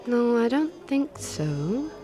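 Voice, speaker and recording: A woman's voice: fairly high pitch, sing-song, slow pace, warm tone, faint music behind. An actress playing a poised, commanding, very wealthy woman in an audio drama, formal and self-assured. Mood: cool, assured.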